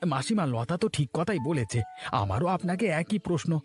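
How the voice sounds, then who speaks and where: High-pitched, confronting village man played by a man. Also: big pitch swings, a little fast, soft background music. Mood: accusing. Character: stern, accusing village husband guarding his garden. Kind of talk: cartoon voice acting.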